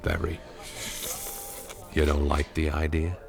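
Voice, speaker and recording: An actor playing a vengeful male war veteran in a 1970s radio drama, calmly and persuasively planning a murder. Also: a bass voice, takes it slowly, creaky, over music, exaggerated voice. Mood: scheming coldly. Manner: cold, persuasive, matter-of-fact about killing.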